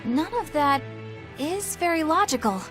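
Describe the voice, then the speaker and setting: Prim, high-voiced young woman warrior. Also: animated, measured pace, faint music behind. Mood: troubled, reflective. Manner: proper, formal, indignant. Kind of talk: English video game voice acting.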